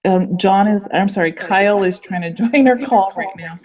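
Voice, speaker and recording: A young woman in a student group video call, hoarse from illness, chatty and apologetic with lots of ums. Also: mid-range voice, moderate pace, darkish tone. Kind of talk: casual video call meeting. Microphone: computer mic on a video call. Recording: dull lo-fi call audio.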